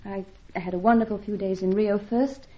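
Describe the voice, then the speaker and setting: A woman's voice: medium pitch, fairly even intonation, a little fast, close to an everyday voice. An actress playing a helpless, anxious young woman in an old radio adventure serial, with a genteel, earnest, fretful delivery. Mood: wistful, demure. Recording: dull, lo-fi old radio recording.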